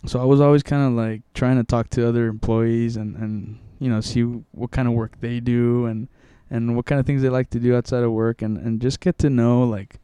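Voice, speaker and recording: Young man, from Mexico, casual interviewee, dark smooth tone, close and dry, halting delivery. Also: mid-range voice, level tone, no echo. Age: young adult. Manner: rambling, many restarts and fillers.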